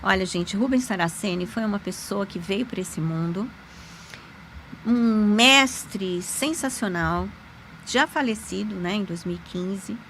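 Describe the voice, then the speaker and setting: Gravelly bright-voiced woman. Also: measured pace, a little hiss or hum. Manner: animated, emphatic, with sudden pitch jumps. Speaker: podcast guest, lawyer and magic teacher. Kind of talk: conversational storytelling.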